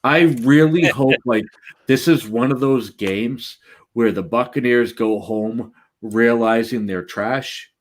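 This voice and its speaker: A man with a Boston accent talks at a middling pitch, joking and trash-talking in a loose, animated way. His voice sounds like a relaxed co-host in casual chat. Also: slow pace, rough recording. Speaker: podcast co-host.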